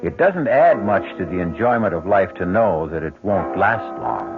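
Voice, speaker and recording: An actor playing a wry, worldly host of ghost stories, narrating with theatrical deliberation in 1970s radio style. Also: male voice, low-pitched, animated, moderate pace. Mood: wry, ominous. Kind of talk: 1970s radio mystery hosting, scripted narration. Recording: muffled vintage radio broadcast, music behind.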